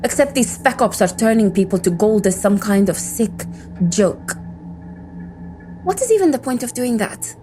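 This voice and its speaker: A woman's voice: a little high, expressive, normal speed, mellow, faint music behind, close to an everyday voice. A woman playing an embittered, defiant agent in an audio drama, with a world-weary, intense, sardonic delivery. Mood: sardonic, tense. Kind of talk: scripted audio drama monologue and dialogue.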